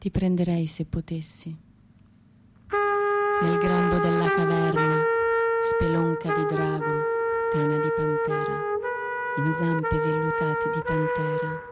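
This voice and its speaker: An actress plays a woman poet, tormented and proud, a grieving mother with a romantic soul. Her voice sits on the low side and moves through the lines with dramatic intensity and deliberate pauses. It is a radio theatre monologue, with music playing behind her. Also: very animated, full character voice. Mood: yearning, incantatory.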